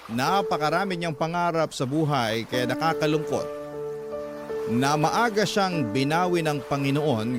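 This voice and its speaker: Loud music runs under a remorseful young Filipino man. He narrates his loss in a scripted, dramatic radio style, in a fairly high voice. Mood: mournful. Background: prominent music.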